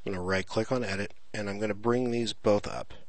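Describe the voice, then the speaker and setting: A man's voice: fairly low pitch, steady tone, brisk, no echo. A man presenting an unscripted screencast tutorial, explaining things casually, step by step.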